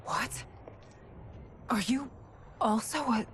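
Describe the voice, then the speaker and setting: An actress playing a regal warrior queen in a video game, tender and halting, with a breathy delivery. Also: a little high, slight background noise. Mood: bewildered. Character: regal warrior woman ruler, dazed, tender and sorrowful. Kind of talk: dramatic video game cutscene acting.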